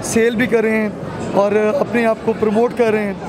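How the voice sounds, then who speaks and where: A man's voice: high-pitched, little pitch movement, normal speed, a crowd in the background. A man in his thirties with a Pakistani accent, a company representative answering business questions in an interview into a handheld microphone. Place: busy indoor expo hall. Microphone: handheld reporter microphone. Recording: noisy crowded exhibition hall.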